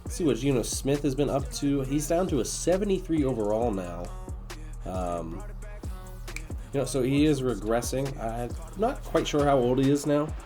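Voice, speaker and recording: A man's voice: medium pitch, moderate pace, dark tone, soft background music. Nasal, congested man commentating a game. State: congested after being sick. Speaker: video game streamer and host. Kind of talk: casual gameplay commentary.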